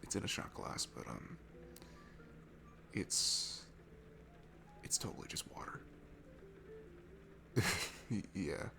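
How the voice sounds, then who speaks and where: Shy, sweet guy alone at a bar, played by a man for ASMR audio: heavy vocal fry, lively shifting pitch, hesitant, stammering and self-effacing. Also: slow pace, long pauses, noisy background, heavily acted. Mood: confiding. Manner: hesitant, stammering, self-deprecating, breaking into hushed breathy asides. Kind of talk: intimate ASMR roleplay audio acting. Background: music.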